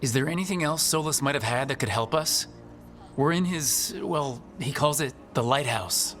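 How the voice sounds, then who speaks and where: A man's voice: medium pitch, normal speed, fairly bright tone, soft background music, natural voice. A man playing an earnest young hero and party leader in a fantasy game, direct and plain-spoken. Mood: concerned.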